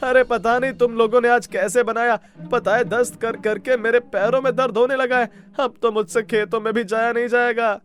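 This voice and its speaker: A man's voice: very high voice, fairly even intonation, brisk, few pauses, fairly bright tone, faint music behind. A man voicing a complaining, aggrieved villager in a cartoon story, whiny and blaming.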